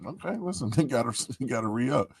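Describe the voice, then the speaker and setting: A man's voice: mid-range voice, animated, brisk, gravelly, slightly rough, no echo. A man co-hosting a podcast in casual chat, slangy and profane, joking and laughing often. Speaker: podcast co-host.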